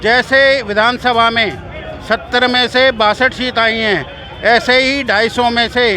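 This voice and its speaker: Emphatic guy complaining, high voice, loud music and crowd around. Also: steady tone, takes it slowly. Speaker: party worker. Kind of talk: street interview.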